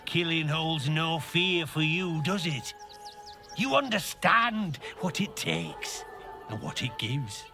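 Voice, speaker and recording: A sinister, insinuating weapon merchant. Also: male voice, fairly high pitch, sing-song, bright, forceful tone, full character voice. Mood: menacing. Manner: smooth, insinuating, unhurried. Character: sinister male weapon merchant probing killers. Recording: game audio with music underneath.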